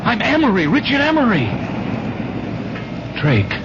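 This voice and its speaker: A man's voice: huge pitch swings, a little slow, exaggerated voice. An actor playing a frightened, agitated man with urgent, stammering delivery in a 1970s radio drama. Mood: panicked, urgent. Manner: urgent, stammering, rising to shrill. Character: agitated, frightened man urging his friend to act. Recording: dull, noisy 1970s radio broadcast.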